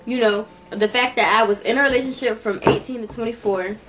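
A woman's voice: fairly high pitch, fairly even intonation, a little fast. A woman in her twenties, chatting casually as a podcast co-host and telling her story with laughs. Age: 20s. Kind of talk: casual chat. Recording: noisy home recording.

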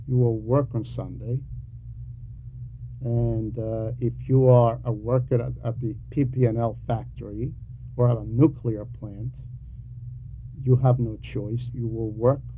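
A man preaching a sermon with emphatic, deliberate phrasing and frequent pauses. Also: medium pitch, fairly even intonation. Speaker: preacher reading a translated homily. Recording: dull lo-fi recording with background noise.

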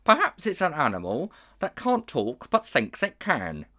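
A cheerful, simple donkey, as a man reading aloud puts him on, chirpy and bright. The voice lifts with lively pitch. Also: unhurried, heavily acted.

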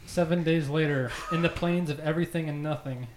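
A man hosts and narrates in a casual podcast style, with a slightly high voice. The room echoes and there is clear noise in the recording. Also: fairly even intonation, fairly quick. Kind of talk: casual group chat and fan fiction reading.

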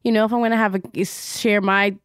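A woman co-hosting a podcast, chatting in a relaxed, thoughtful, easygoing way. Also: mid-range voice, fairly quick, clean tone, no room sound, studio quality. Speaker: podcast co-host. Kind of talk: casual chat.

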